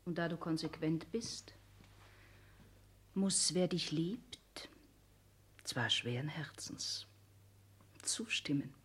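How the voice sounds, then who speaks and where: Husky, breathy, proud wounded lover. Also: female voice, sits low, animated, whispered, heavily acted. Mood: bitter, restrained. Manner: measured, pointed, formal. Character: proud, wounded, ironic female lover of a careerist. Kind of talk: 1960s German radio play acting.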